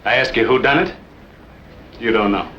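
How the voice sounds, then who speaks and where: A man's voice: mid-range voice, normal speed, a bit bright, lightly acted. An actor playing an irritable, sarcastic frontier lawman, scolding and dismissive, in an old-time radio western. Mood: irritated. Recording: muffled, echoey, noisy old radio recording.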